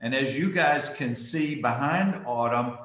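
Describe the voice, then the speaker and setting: A man's voice: mid-range voice, measured pace, a bit bright, echoey room, rough recording. Man hosting over a video call. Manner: friendly, repeats words while starting phrases. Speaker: host. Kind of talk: casual video call interview. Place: home room with school mascot wall.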